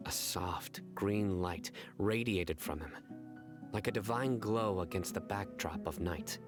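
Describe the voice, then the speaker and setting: A stern game investigator with a low voice. He speaks in a formal, deliberate and gravely serious way, over plucked-string music. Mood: solemn storytelling. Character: stern, measured male investigator, young adult, gravely serious. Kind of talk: video game voice acting.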